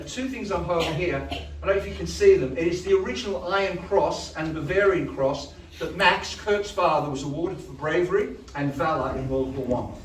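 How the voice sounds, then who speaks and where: Loud man telling a story in a hall. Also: fairly high pitch, slight background noise. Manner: chatty, storytelling, asides to the crowd. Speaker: choir director. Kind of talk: speech to a live audience. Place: large hall. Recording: echoey hall from a distance.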